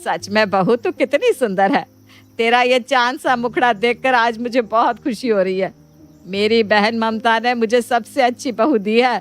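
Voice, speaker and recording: Woman acting a theatrical high-voiced Indian mother-in-law. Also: normal speed, close to an everyday voice. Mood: doting. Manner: theatrical, emphatic. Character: middle-aged Indian mother-in-law, doting then scolding and exasperated. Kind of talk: Hindi moral story cartoon voice acting. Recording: music bed behind the voice.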